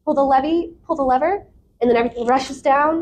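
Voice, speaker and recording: A woman with a fairly high voice chats casually as an interview guest. She is candid and expressive, with a giggly streak. Some noise sits behind her. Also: fast talker. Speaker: podcast guest. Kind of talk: casual chat. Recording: clear background noise.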